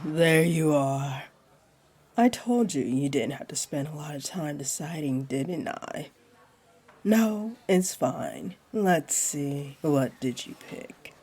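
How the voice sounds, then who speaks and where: A flirty jaguar beastling woman, played by a voice actress, speaks in a dark, mellow voice with a husky, breathy edge. She takes her time, teasing in a playful, laid-back way and soothing as she goes. It is intimate roleplay audio aimed at a single listener. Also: low-pitched, heavily acted. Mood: relaxed, flirty. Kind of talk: roleplay audio acting, addressed to one listener.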